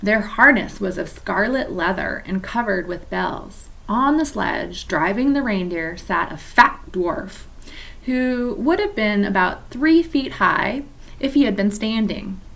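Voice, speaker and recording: A woman reading a chapter book aloud to children for a church, adding gentle, chatty asides to her young listeners. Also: mid-range voice, expressive, unhurried, creaky, rough recording. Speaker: children's story reader at a church.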